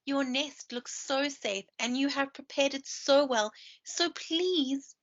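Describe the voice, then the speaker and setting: A woman's voice: high-pitched, slow, very noisy, voice pushed up. A woman voicing a regal, polite queen bumblebee in a children's storybook. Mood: grand, pleading. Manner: regal, courteous. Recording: dull lo-fi screen-share recording.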